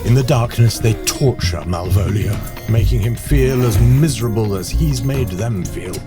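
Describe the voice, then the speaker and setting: A man's voice: on the low side, moderate pace, lightly acted. A man playing the storyteller of an audio play, narrating scripted scenes expressively. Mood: wry. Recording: music under the voice.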